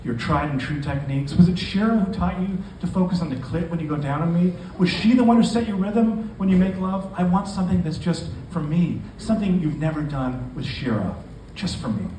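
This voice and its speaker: A man's voice: high voice, fairly quick, few pauses, warm tone, voice pushed up, close to an everyday voice. A man reading as a coaxing woman lover, echoey. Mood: pleading. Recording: echoey, noisy venue, distant microphone.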